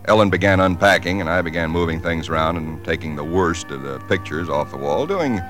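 Deep-voiced husband in vintage radio drama. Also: male voice, fairly quick, fairly bright tone. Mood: reflective, measured. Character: weary, dismissive husband recalling a house move. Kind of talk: 1940s radio drama acting and narration. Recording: vintage radio recording with music behind.

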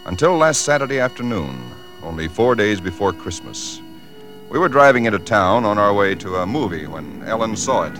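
An actor playing an ordinary married engineer in his thirties, a man speaking in a measured, sober way in a 1940s radio drama. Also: fairly low pitch, very animated, a bit bright. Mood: sober, grave. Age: 30s. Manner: measured, formal, grave storytelling. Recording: old radio broadcast, music behind.

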